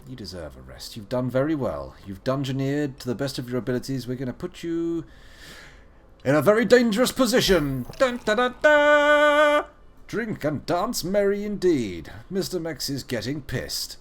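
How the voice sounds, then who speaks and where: A man's voice: a little high, sing-song, normal speed, a bit bright. A young man streaming a video game live, playful and joking, with muttered asides.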